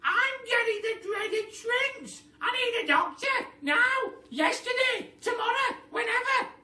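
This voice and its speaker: A shrill, nasty old wife from a children's tale. The pitch leaps far up into frantic wails and demands. The sound is distant and roomy, with slight noise. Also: male voice, lively intonation, slow, sharp tone, heavily acted. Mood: hysterical panic. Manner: wailing, shrieking demands. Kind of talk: children's storybook character voice. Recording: echoey room, distant mic, faint noise.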